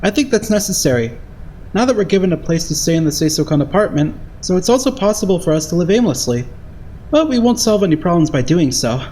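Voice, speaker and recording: Guy doing earnest naive young idol, anime dub, lively pitch, faint noise behind. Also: quick pace. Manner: earnest, straightforward. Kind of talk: anime game fandub acting.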